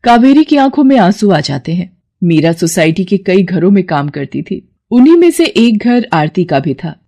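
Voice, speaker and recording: A woman giving scripted narration for a children's animated story. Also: medium pitch, moderate pace, creaky.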